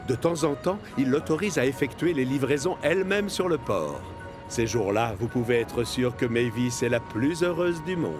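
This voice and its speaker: A man narrating a children's cartoon in French, telling the story expressively. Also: medium pitch.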